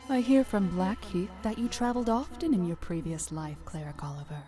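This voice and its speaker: Regal vampire spider deity female actor, seductive and teasing, breathy lower register, fantasy drama. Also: lively intonation, a little fast, warm tone, faint music behind, lightly acted. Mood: probing, curious. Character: poised, seductive ancient spider goddess of vampires.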